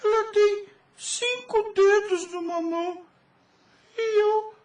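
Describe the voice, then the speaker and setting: A woman's voice: very high voice, steady tone, slow pace, a little mellow. A woman playing a hurt, stubborn seven-year-old boy in an audio drama, speaking in a plaintive, childlike way. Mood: plaintive, protesting. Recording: roomy echo, distant from mic.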